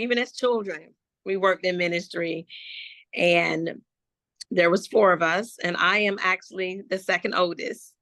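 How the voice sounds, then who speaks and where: A woman from Florida, a gospel hip-hop artist, telling her life story slowly and reflectively in an interview, with many restarts. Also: medium pitch, sharp tone, dry recording. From Jacksonville, Florida. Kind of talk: personal life testimony in an interview.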